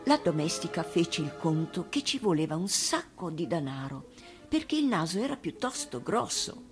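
A woman's voice: on the low side, sing-song, normal speed. A woman reading a children's tale expressively as an actress, with music behind her. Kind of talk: expressive storybook narration. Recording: radio reading over music.

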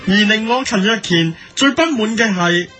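Hk cantonese guy doing mock serious editorial, high sharp voice, theatrical, faint music behind. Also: moderate pace. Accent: Hong Kong Cantonese. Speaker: commentary host. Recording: faint music bed under voice.

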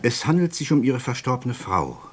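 Husky, lively-pitched, courteous police inspector. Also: male voice, normal speed. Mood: politely insistent. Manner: formal, politely insistent. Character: courteous, persistent, suspicious British police inspector. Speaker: actor. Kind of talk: German radio play dialogue.

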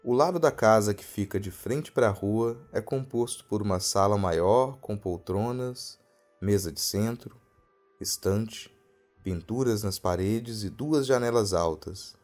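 A Brazilian man narrating a scripted horror story as a podcast storyteller, in a measured, literary, suspenseful manner. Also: on the low side, a little mellow. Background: music.

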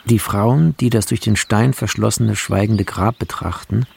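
Gravelly man reading a screenplay. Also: lowish voice, fairly even intonation, unhurried, darkish tone. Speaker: narrator reading film shot descriptions. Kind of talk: scripted screenplay narration. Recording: clear music behind the voice.

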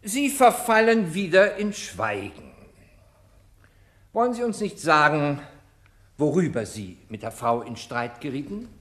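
A haughty male prosecutor in a radio courtroom drama. His high voice swings widely in pitch as he lays on biting irony and theatrical, rhetorical pauses. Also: very animated, a bit bright. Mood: accusing. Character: sarcastic, haughty male public prosecutor hounding the accused. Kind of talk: German radio crime play acting, courtroom oratory.

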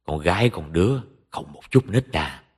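A man voicing an authoritative older father in an audio story, blunt and measured, with a Southern Vietnamese accent. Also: low voice, expressive, breathy edge. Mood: stern. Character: stern, authoritative older father, low and steady. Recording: long hall reverb.

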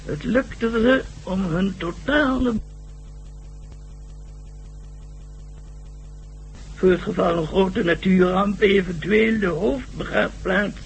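Creaky high-voiced male professor. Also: measured pace. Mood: lecturing. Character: earnest learned male professor explaining a doomsday threat. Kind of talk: radio drama acting. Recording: noisy hissy old radio drama recording.

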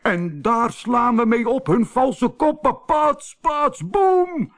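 Man doing a shrill, hot-headed storybook toad. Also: lively intonation, takes it slowly, a bit bright, heavily acted. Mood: wild, gleeful. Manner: shrieking, blustering. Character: hot-headed, gloating, exuberant male toad.

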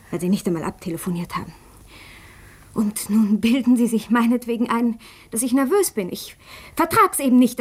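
Radio drama role, female actor: young invalid woman, proud and embittered, husky, agitated and accusing. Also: medium pitch, lightly acted. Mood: indignant. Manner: agitated, accusing, sharp shifts to hushed intensity. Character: bitter, proud, sickly young woman on crutches. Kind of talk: dramatic German radio play acting.

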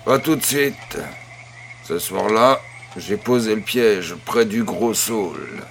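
A man's voice: on the low side, slow pace, gravelly, slight background noise. An actor playing an affectionate old farmer grandfather, patient and encouraging, in a French audio drama. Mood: storytelling.

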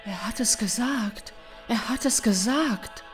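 A woman's voice: on the high side, takes it slowly, faint music behind. An actress in a radio play playing an anguished, fearful young woman in a plaintive manner. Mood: distressed. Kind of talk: slow symbolist radio play acting.

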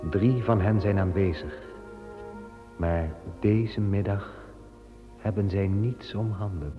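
Trage, zeer lage vertellersstem van een man. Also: lowish voice. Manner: grave, measured. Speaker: narrator. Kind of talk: scripted radio drama narration. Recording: dull old recording with long reverb and soft music.

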